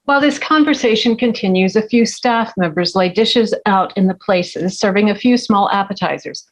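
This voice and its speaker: Brief: female narrator, medium pitch, reading screenplay action and scene headings. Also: moderate pace, poor audio. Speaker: stage-direction reader. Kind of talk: scripted narration at a table read.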